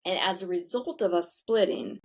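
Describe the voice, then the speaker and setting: A woman with a somewhat low voice, telling her story in a casual interview. She is candid and chatty, and drops the odd swear word without fuss. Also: moderate pace. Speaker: podcast guest, founder of a self-care center.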